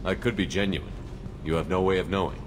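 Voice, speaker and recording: An actor playing a steady, probing human military commander in a video game, direct and even-tempered. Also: male voice, low-pitched, a little fast, in a large hall, background noise, lightly acted. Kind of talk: video game character acting.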